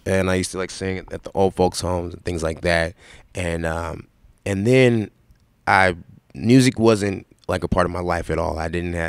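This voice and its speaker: Young man, raspy, very low and flat voice, dry close sound, loose storytelling with fillers. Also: dry recording. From Seattle. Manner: relaxed, rambling storytelling with many fillers. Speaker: rapper guest. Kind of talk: casual interview answers.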